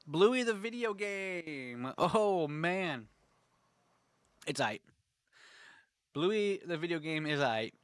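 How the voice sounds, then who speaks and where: A young man with a congested throat, chatting casually as a gaming host, rambling with lots of fillers and frequent throat clearing. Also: on the high side, expressive, slow pace, pauses often, dry recording. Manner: rambling, filler-heavy, enthusiastic. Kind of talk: casual tier-list chat.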